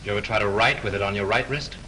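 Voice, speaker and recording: A confident young writer who questions people in a brisk, teasing way. He talks fast, with a bright, lively edge. A faint hiss sits under the old soundtrack. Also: lowish voice, low-quality recording. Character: quick-witted young screenwriter turned sleuth. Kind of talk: 1930s film acting.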